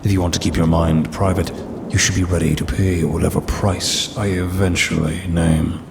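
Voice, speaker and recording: A man's voice: low voice, level tone, moderate pace, vocal fry, background noise. A man voicing a centuries-old demon in an ASMR audio roleplay, formal and pompous, grumbling dryly yet caring. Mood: smug, calm. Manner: formal, pompous, dry complaining.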